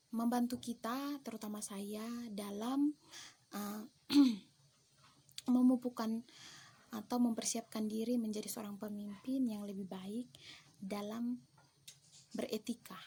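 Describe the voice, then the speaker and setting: A woman's voice: mid-range voice, warm tone, slight background noise. A young woman from eastern Indonesia, a theology student giving a careful reflective class presentation with some hesitations. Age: young adult. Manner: careful, measured, with hesitations and restarts.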